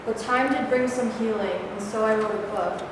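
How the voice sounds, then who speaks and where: A woman's voice: on the high side, normal speed, close to an everyday voice. A woman in a staged reading, playing a scarred bomb survivor who recites simple verse. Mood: mournful, plain. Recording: distant, very echoey noisy hall recording.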